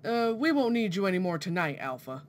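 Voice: medium pitch, sing-song, a little fast, slight background noise, close to an everyday voice. An actor playing a proud, genial inventor professor in a formal, theatrical 1940s radio style. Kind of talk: 1940s radio drama acting.

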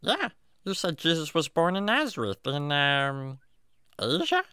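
Give me a woman doing a slow, naive little animal sidekick. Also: sits low, big pitch swings, bright, forceful tone, clean tone, no echo, clean studio sound, heavily acted. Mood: curious. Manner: innocent, earnest, baby-talk phrasing. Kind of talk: children's radio drama acting.

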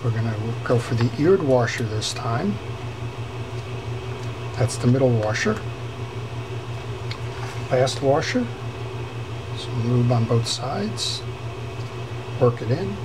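Man, repair tutor at a workshop bench, mid-pitched, unhurried, fillers and restarts; camera mic picks up noticeable background noise. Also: expressive. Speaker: fishing reel repairer. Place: workbench in a workshop.

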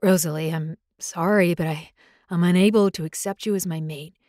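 A young alpha werewolf, gentle but firm, voiced by a woman in an audiobook reading. The voice dips a bit lower and stays soft and apologetic, stumbling over its words. The sound is dry and close. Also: fairly even intonation, normal speed, no room sound, natural voice. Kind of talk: audiobook character voice.

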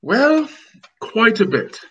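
A young man playing an anxious, self-important groom in melodramatic, drawn-out old-time radio mystery style. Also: very high-pitched, huge pitch swings, husky, muffled recording, full character voice. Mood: scheming, pompous. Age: young adult. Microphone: video call.

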